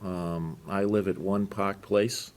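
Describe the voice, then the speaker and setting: A man with a Boston accent answering as a candidate at a town forum, halting, with restarts. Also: deep voice, steady tone.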